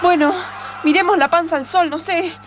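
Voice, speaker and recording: Woman playing an excitable, very high-voiced Argentine traveler. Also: normal speed, a little hiss or hum. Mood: dismayed, relieved. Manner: breathless, exclamatory, melodramatic. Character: excitable, naive young city woman cycling through the wilderness. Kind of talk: improvised radio theatre acting.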